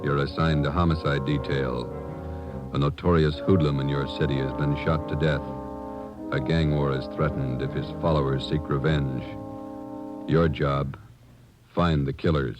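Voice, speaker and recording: Very deep-voiced, solemn radio announcer, man. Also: fairly even intonation. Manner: solemn, dramatic, measured. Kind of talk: 1950s radio crime show scripted narration. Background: brass music.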